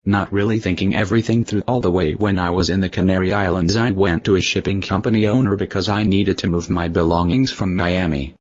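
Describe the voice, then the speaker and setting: Male interviewee, deep voice, stiff recited answers, slightly muffled recording. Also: fairly even intonation, fairly quick, few pauses, a little mellow, no room sound.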